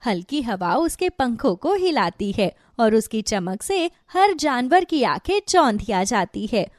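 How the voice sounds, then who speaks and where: A woman's voice: fairly high pitch, sing-song, a little slow, no room sound, clean studio sound. A woman narrating a scripted children's story.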